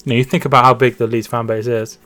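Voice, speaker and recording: A man's voice: medium pitch, flat delivery, quick pace, fairly bright tone, no room sound. A man hosting a casual football watch-along stream from home, reading out chat and calling the match. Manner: chatty, repeats words and restarts while reading chat.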